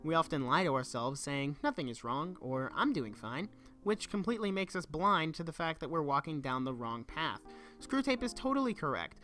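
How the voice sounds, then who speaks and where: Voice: mid-range voice, expressive, moderate pace, a bit bright. Young person reading a video essay script, earnest and reflective, music behind. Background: background music.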